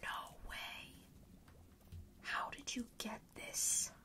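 Whispering woman in a playful ASMR shop roleplay. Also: very slow. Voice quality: breathy whisper, mostly unvoiced. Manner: playful, chatty, repeats phrases for emphasis. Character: friendly, boastful enchanted-forest perfume seller. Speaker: ASMR roleplayer playing a fragrance shopkeeper.

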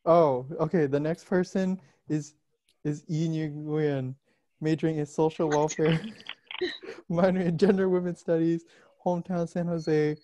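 A young woman with a notably low, dark-toned voice presents in casual chat. The video call sound is dry and close. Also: steady tone, measured pace, no echo. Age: young adult. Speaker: presenter at an online graduation.

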